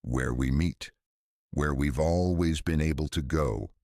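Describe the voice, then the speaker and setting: A man's voice: extremely low pitch, a little slow, darkish tone, no echo, studio quality. A man voicing a serious, careful teenage boy in an audiobook, with terse, weighted delivery. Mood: grave.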